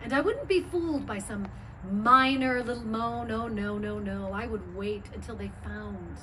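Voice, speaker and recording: A woman performing a comic stage monologue as a gleeful, bawdy character, theatrical and teasing with drawn-out pauses. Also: fairly high pitch, fairly bright tone. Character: bawdy, gleeful woman cataloguing kinds of moans. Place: live performance at a bar and grill. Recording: very noisy live stage recording.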